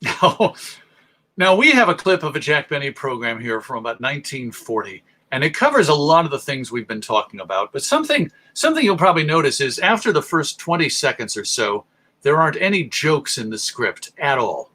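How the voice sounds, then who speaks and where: An American man presenting a history talk, telling it emphatically and deliberately, with dramatic pauses. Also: fairly high pitch, sing-song, pushed and bright. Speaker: presenter, radio show host.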